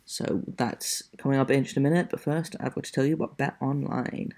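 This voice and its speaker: A man's voice: mid-range voice, fairly even intonation, a little fast, a little mellow. A man hosting a solo sports podcast in a casual, dry and wry way, with an accent that is not American. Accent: non-American. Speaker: podcast host.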